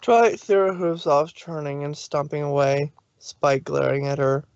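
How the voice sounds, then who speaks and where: Male narrator, plain steady read, dry, muffled mic. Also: on the high side, normal speed, gravelly, smooth, dry recording. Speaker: reader. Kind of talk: fanfic reading narration.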